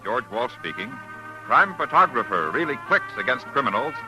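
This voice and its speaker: A man's voice: medium pitch, big pitch swings, moderate pace, poor audio. A man with an American accent reading scripted announcements as an old-time radio announcer. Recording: vintage radio recording with music. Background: orchestral theme music.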